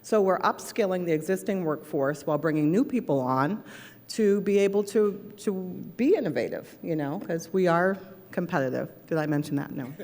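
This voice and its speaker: A woman's voice: fairly low pitch, animated, moderate pace, darkish tone, long reverb tail. A woman on a discussion panel who explains her points in a conversational way. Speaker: panelist, restaurant owner.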